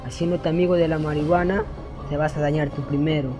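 A man's voice: fairly high pitch, level tone, a little fast, natural voice. A man playing a sensible, earnest friend who gives advice in an educational radio play. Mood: earnest, friendly. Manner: earnest, advising. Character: sensible, earnest male friend giving warnings. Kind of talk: educational radio soap acting. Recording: music under the voice.

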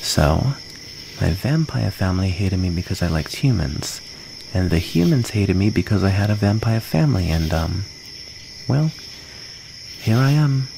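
A man playing a shy, wounded, gentle young outcast vampire in an ASMR comfort roleplay, speaking in a halting, soft-hearted way. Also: sits low, sing-song, creaky, full character voice. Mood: hurt, vulnerable. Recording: noisy, cricket ambience behind.

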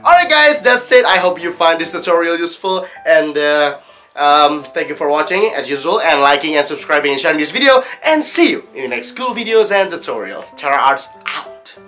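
A young adult man talking casually to camera as a tutorial host, in an animated, jokey, excitable manner. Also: fairly high pitch, a little fast, faint music behind. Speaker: host of video-effects tutorials. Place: small indoor studio room.

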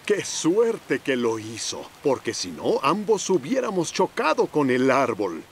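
Earnest cartoon locomotive, Latin American, unhurried and animated, faint noise behind. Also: male voice, mid-range voice. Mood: relieved. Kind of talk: children's cartoon dub.

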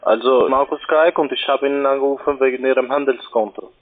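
A man who is a non-native speaker, giving a scripted pitch as a call centre caller. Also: fairly even intonation, fairly quick. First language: not German. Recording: muffled phone line.

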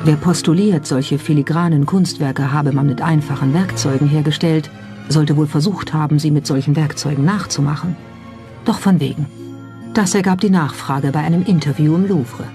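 A documentary narrator delivers scripted lines at a medium pitch, with pointed skepticism and a rhetorical edge. Music plays clearly behind the voice. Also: normal speed, dark tone. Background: background music.

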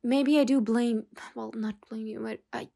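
A woman playing a stern, weary boss in an ASMR roleplay, speaking in a measured way with sighs and hesitant pauses. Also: on the high side, steady tone, vocal fry, mellow. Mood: weary, softening. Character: stern, weary female mafia boss scolding an employee. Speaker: ASMR voice actor.

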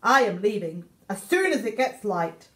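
Very animated Englishwoman reading to children. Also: medium pitch, moderate pace. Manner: exaggerated, theatrical delivery. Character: young male meerkat writing eager letters home. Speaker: teacher reading a picture book aloud. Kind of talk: expressive children's story reading. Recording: echoey room.

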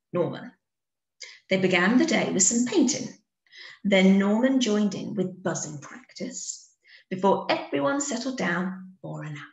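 A woman teacher reads a children's story with plenty of expression. Her pace is unhurried and her pitch rises and falls in a lively way. The recording has a noticeable room echo. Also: vocal fry. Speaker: teacher reading to pupils. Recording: echoey room.

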